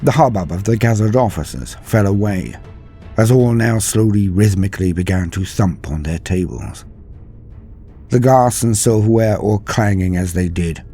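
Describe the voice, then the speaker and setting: A man narrating a scripted story in a solemn, theatrical manner, with deliberate dramatic pauses. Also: fairly low pitch, dark tone, slightly rough. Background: faint music.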